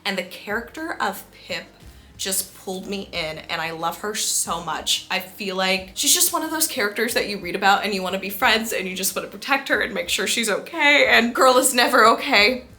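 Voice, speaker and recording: A young woman of about twenty-one talks to camera as a book vlogger. Her voice is bright and sits at an average pitch. The delivery is chatty, emphatic and openly gushing. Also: moderate pace, faint music behind. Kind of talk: casual chat to camera about books.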